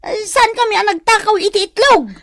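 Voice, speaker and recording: A woman's voice: very high-pitched, brisk, a crowd in the background. A woman playing a flustered, evasive village gossip in Ilocano comedy radio drama, stammering and whiny as she feigns innocence.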